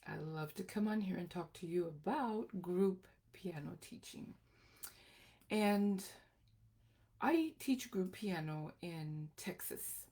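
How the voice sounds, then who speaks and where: Slow-paced, creaky-voiced middle-aged woman teaching. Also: on the low side, dark tone. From Costa Rica. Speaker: group piano teacher presenting a lesson. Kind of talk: informal instructional talk.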